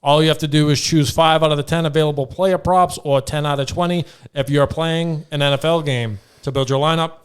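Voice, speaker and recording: A man's voice: fairly high pitch, fairly even intonation, fairly quick, clear, resonant tone. A man co-hosting a podcast, reading out a sponsor ad script. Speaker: podcast co-host.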